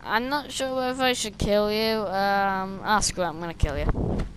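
A young woman, slightly hoarse and losing her voice, chatting and rambling as she plays a stealth game at her desk, talking to the enemies on screen. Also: medium pitch, fairly even intonation, moderate pace, noisy background. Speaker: gameplay streamer. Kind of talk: casual gameplay commentary. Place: small room indoors, seated at a desk.

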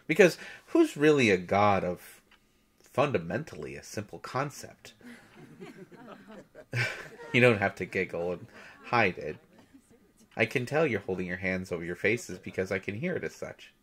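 A man playing a shy, gentle substitute teacher, halting and nervous, in an intimate audio roleplay lecture. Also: low-pitched, animated. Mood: thoughtful, playful. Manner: hesitant, nervous, halting with soft chuckles. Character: shy, gentle male Greek god posing as substitute teacher.

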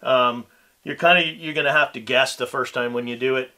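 A man in his thirties, a co-host, casually explaining tips in a relaxed, hesitant way. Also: medium pitch, fairly quick. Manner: relaxed, hesitant with ums and restarts. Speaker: fishing pro staffer and co-host. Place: small studio set with backdrop.

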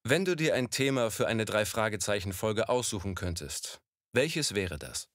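A man's voice: lowish voice, animated, moderate pace, fairly bright tone, dry recording, studio quality. A man asking short, prepared interview questions. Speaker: off-camera interviewer.

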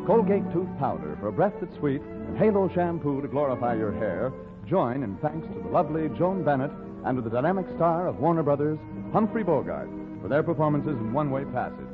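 A man's voice: fairly high pitch, sing-song, a little fast, over music. A man hosting and announcing in a scripted 1940s radio style. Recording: tinny 1940s radio broadcast recording.

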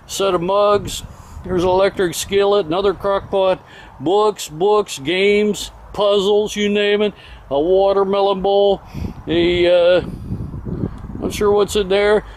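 A man with a notably high voice talks through auction items in a casual, unscripted way. His delivery is folksy and comes in short itemizing phrases. He is recorded outdoors on a handheld camera, with faint ambient noise behind him. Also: steady tone, slow pace. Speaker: auction house presenter. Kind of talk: casual off-the-cuff walkthrough commentary of auction items. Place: outdoors in an open-air auction yard. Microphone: handheld camera held by the speaker.

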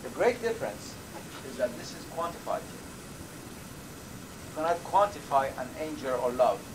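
A man's voice: mid-range voice, expressive. Older man lecturing, Persian native. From Iran. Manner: measured, thoughtful lecturing with frequent "uh" pauses. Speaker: professor. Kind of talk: university lecture. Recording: lecture recording with audible background noise.

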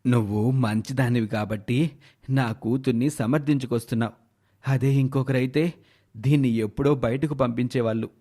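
A man's voice: medium pitch, fairly even intonation, moderate pace, warm tone, pitched below the performer's own voice, close to an everyday voice. A man voicing a hurt, reproachful older father who admonishes his daughter in a dramatised moral story. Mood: apologetic. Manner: admonishing, wounded.

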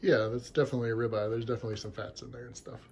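A man's voice: mid-range voice, level tone, very fast, creaky, slight background noise. A man talking casually while filming a home cooking video in his kitchen. He gives simple, encouraging step-by-step cooking tips. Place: home kitchen and dining table, indoors. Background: loud background music.

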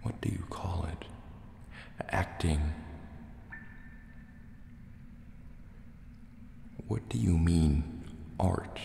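Very deep, gravelly sea serpent dragon, acted by a man. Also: exaggerated voice. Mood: wary, curious. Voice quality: gravelly, softly spoken. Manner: slow, hesitant, formal wording. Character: wary, brooding male sea serpent dragon in a cave. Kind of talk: soft-spoken ASMR roleplay. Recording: cavernous echo, long reverb, faint water noise.

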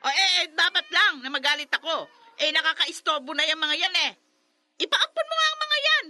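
A woman's voice: very high voice, lively intonation, fairly quick, pushed and bright, slight background noise, exaggerated voice. A woman playing a scolding middle-aged mother in a Filipino radio drama, emphatic and repeating herself. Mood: angry scolding. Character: nagging, scolding middle-aged mother who resents her children's cats.

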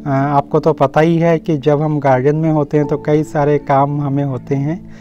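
A mellow, soft-edged male voice walks viewers through a home garden. He explains casually and speaks to them directly, like a friendly host. The audio is a little dull, with instrumental music playing underneath. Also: on the high side, level tone, moderate pace.

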